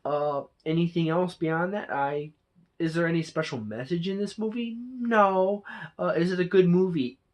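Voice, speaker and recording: Someone doing an off the cuff review for their channel, mid pitched voice, kinda rambly and halting, keeps fixing what they said. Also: darkish tone. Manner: halting, rambling, frequent self-corrections. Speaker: movie reviewer and channel host. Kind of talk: casual unscripted review monologue.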